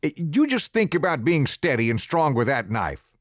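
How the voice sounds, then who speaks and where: Man voicing a harsh rural father, gruff and commanding; animated pitch, dry recording. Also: normal speed, dry recording. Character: harsh rough farmer father, gruff, impatient. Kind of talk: audiobook character voice.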